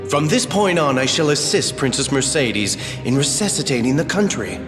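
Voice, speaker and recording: A man's voice: mid-range voice, animated, normal speed, close to an everyday voice. Man as a haughty scheming adviser, swooping delivery, echoing. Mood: smoothly polite. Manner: formal, theatrical, condescending. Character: scheming, smooth-talking, haughty male royal adviser. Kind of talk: anime-style video game voice acting. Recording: echoey reverberant hall, loud music behind.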